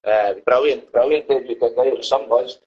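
A man's voice: mid-range voice, moderate pace, a little mellow, slightly rough. A Scottish musician rambles easily as a podcast guest, tossing in "man" every few words. His mid-range voice comes through a dull, lo-fi line. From Scotland. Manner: rambling, laid-back, fills with "man". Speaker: band member guest. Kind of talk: casual interview chat. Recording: dull lo-fi sound.

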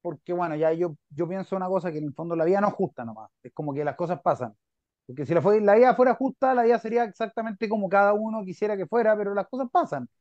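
A Chilean man hosting a podcast, relaxed and chatty as he interviews his guest. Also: sits high, fast talker, no room sound. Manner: relaxed, chatty, talks about himself. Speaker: podcast host. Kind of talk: casual interview chat. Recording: dull dry sound.

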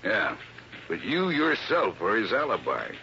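Gruff police lieutenant, tough, lowish voice, forceful, dull vintage radio sound. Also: male voice, huge pitch swings, a little slow, exaggerated voice. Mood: skeptical. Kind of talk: 1960s radio drama acting. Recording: muffled old radio recording, faint noise.

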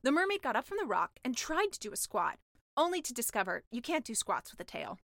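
A woman's voice: a little high, very animated, brisk, fairly bright tone, dry recording, studio quality. A woman narrating a comic fairy tale as an audiobook reader, in a scripted storytelling style.

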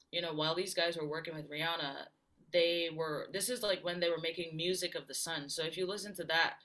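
A woman's voice: low voice, fairly bright tone. A woman from Barbados, telling her story as a guest in a casual interview. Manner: chatty storyteller, many fillers and laughs. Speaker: guest singer-songwriter.

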